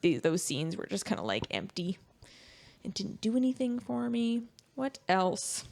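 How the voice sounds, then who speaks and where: A woman's voice: medium pitch, very animated, a little slow, gravelly, darkish tone. A woman chatting casually as a panelist on a film review podcast, informal and jokey in how she talks.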